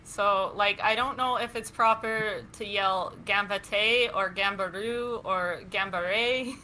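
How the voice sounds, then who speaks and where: A woman's voice: medium pitch, steady tone, slow pace, sharp tone, a little hiss or hum, low-quality recording. A woman from Canada, co-hosting a podcast in casual chat, talking freely and laughing often. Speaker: podcast co-host.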